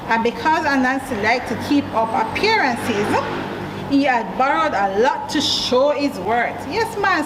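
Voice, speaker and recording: A woman with a Jamaican accent reading a story aloud to children, with deliberate emphasis and questions to young listeners. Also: sits high. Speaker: library storyteller. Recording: echoey room, distant mic, clear background noise.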